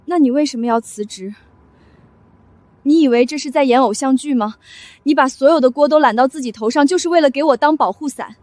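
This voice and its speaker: A feisty young office heroine from an animated romance drama, voiced by a woman. Her voice is high and close-miked dry, and she challenges people with indignant, flustered questions. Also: a little fast, dry recording, lightly acted. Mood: frustrated.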